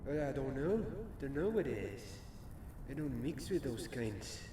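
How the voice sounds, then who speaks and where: A man voicing a grumpy, dismissive castle portrait in an ASMR roleplay, answering curtly and haltingly. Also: mid-range voice, very animated. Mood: puzzled, dismissive. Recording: echoey, noisy ambient backdrop.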